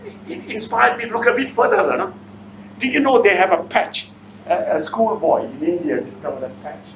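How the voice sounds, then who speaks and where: Echoey Malaysian or Singaporean male seminar speaker. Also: high-pitched. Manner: blunt, interactive, repeatedly challenges listeners with questions. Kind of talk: motivational seminar talk, quizzing the audience. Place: indoor seminar room. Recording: echoey room, distant mic, muffled, faint noise.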